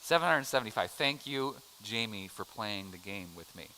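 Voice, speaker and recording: A man's voice: lowish voice, expressive, normal speed, bright, forceful tone, a little hiss or hum, rough recording. A middle-aged American man teaching a casual adult Bible class, chatty and joking as he quizzes the room. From United States. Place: church classroom.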